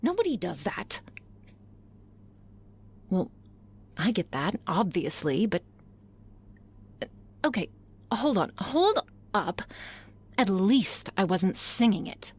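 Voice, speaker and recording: A woman's voice: medium pitch, sing-song, slightly rough, full character voice. A woman playing a blunt, confident female office boss who talks frankly and wryly in an intimate ASMR roleplay. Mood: teasing, wry. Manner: frank, profane, wry, musing with hesitant pauses. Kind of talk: intimate ASMR roleplay, conversational monologue to listener.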